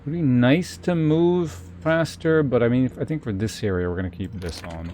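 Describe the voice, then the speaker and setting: A man's voice: medium pitch, normal speed, warm tone, slight background noise. A man streaming a video game, giving casual live commentary and thinking aloud in short muttered remarks.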